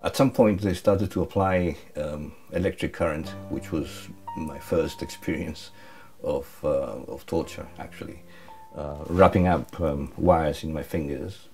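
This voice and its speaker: A man gives documentary interview testimony. His voice is very deep and dark, and he speaks hesitantly, pausing on fillers and trailing off quietly. Faint guitar music plays behind him. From Chile. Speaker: interviewee, former refugee.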